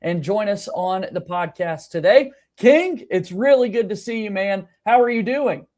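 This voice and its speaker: Give me a man with a fairly high voice for his sex, hosting a sports podcast interview. He asks his questions in an upbeat, friendly way and keeps the conversation moving. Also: expressive, measured pace, darkish tone. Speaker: podcast host.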